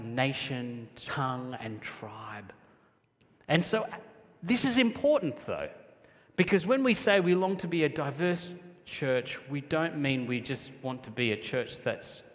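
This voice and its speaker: A man from Sri Lanka preaching a sermon as a pastor, in an emphatic but conversational way, slowing down for weight. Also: mid-range voice, expressive. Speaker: pastor preaching to his congregation. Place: large church hall, speaking to a congregation. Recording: echoey hall with long reverb.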